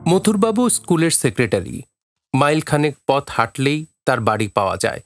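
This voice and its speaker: Male narrator, mid-pitched, measured and dramatic literary read for audio drama, soft music underneath. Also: big pitch swings, no room sound, clean studio sound. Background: faint music.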